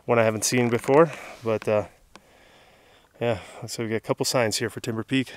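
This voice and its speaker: A man's voice: mid-range voice, steady tone, fairly quick, a little hiss or hum. Tired, chatty man on a mountain summit. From Southern California. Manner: chatty, tired and laughing, filler-heavy. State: exhausted after a hard climb. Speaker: hiking vlogger. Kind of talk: casual vlog talk to camera. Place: outdoors on a forested mountain summit. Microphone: handheld camera at arm's length.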